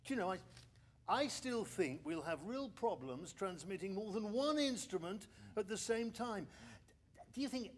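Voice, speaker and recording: A man's voice: high voice, animated, normal speed. A man playing an anxious, sceptical 1920s research engineer in a radio play, hesitant and stammering as he talks. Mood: worried, doubtful. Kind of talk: period radio drama acting.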